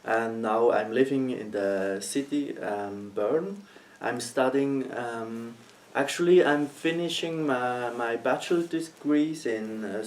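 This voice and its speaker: Slow, hesitant young man from Switzerland. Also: mid-range voice, a little mellow. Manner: hesitant, searching for words, many ums. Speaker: guest interviewer, student. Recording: voice heard from a distance.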